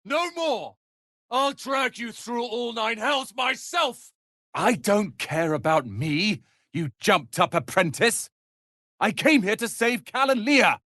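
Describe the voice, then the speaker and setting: An actor playing a proud, irritable young male wizard apprentice in a fantasy video game, haughty, theatrical and sharp-tongued. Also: sits high, lively intonation, takes it slowly, no room sound, studio quality, exaggerated voice. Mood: furious, desperate.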